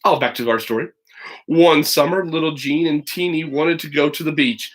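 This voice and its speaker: A man reading a children's story aloud as a teacher would, animated and chatty, slipping into casual asides. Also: a little high, normal speed, poor audio.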